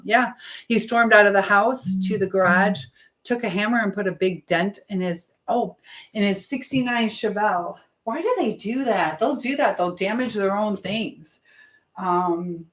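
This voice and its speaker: A woman hosting a casual live chat in a chatty way, greeting viewers and reading out comments. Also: mid-range voice. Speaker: livestream host. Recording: roomy, echoey indoor sound.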